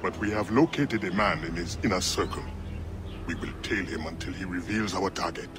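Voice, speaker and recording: An actor playing a resolute assassin leader in a video game, with a deep voice and a measured, solemn manner. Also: male voice, noisy background, natural voice. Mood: grave, resolute. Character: grave, resolute male assassin leader planning revenge. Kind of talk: video game drama acting.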